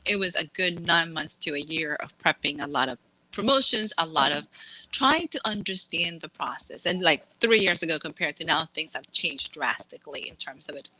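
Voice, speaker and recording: A woman from Massachusetts, an author being interviewed, talking in a lively way and laughing as she acts out quotes. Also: sits low, normal speed, low-quality recording. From Massachusetts, USA. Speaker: author guest. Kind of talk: casual interview chat.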